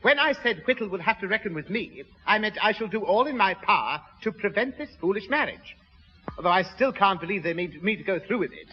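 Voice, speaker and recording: A man's voice: high voice, animated, brisk, a little hiss or hum. An actor playing a pompous, fussy, easily indignant man with an English accent and formal manner, in a 1960s radio sitcom. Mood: stern. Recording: muffled, reverberant old radio recording.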